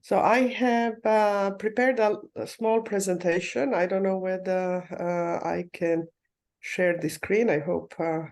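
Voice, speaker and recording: A speaker from Croatia, giving measured conference panel remarks over a video call, with frequent filler sounds and restarts. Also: medium pitch, steady tone. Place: remote, not in the conference room.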